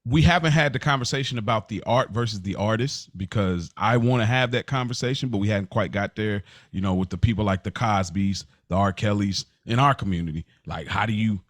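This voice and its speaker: A man hosts a casual podcast in a lowish voice. His talk is slangy and animated, recorded very dry with little room sound. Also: fairly quick, hardly any pauses, no room sound. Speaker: podcast host.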